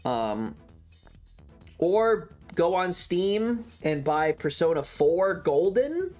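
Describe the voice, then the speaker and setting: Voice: mid-range voice, very animated, extremely slow, a little mellow, soft background music. A streamer chatting casually and opinionatedly on a livestream, rambling and repeating points, with some casual swearing. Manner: casually profane. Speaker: variety streamer.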